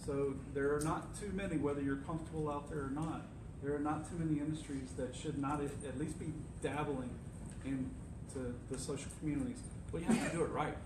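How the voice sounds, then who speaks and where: A man's voice: fairly high pitch, steady tone, fairly quick. A man on a discussion panel, explaining things in a direct, advice-giving way. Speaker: panelist, agency CEO. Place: university lecture room. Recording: echoey room, distant mic, noisy.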